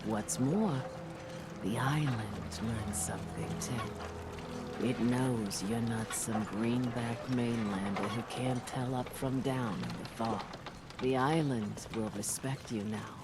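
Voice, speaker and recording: An actress playing an old, weary island widow in a video game, telling things in a solemn, folksy, deliberate way with a sly streak. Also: female voice, rumbling low, heavy background noise. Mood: defensive, reflective. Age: older. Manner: slow, deliberate, folksy storytelling. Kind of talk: dramatic video game dialogue. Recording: loud music over the voice.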